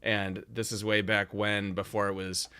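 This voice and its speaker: Male host, slightly low voice, loose chatty delivery full of ums. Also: little pitch movement, normal speed, pushed and bright, clean tone. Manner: rambling, hesitant, lots of fillers. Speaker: podcast host. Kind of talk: casual chat.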